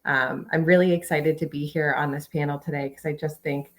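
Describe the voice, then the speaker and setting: A woman's voice: deep voice, steady tone, brisk. A woman in her late twenties introducing herself as a panelist on a video call, casual with hesitant fillers. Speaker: panelist, software engineering manager.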